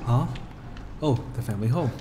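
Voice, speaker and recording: A man's voice: mid-range voice, lively intonation, normal speed, noisy background. A man giving casual commentary over gameplay with wry, sarcastic asides. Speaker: gameplay video host. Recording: game music under commentary.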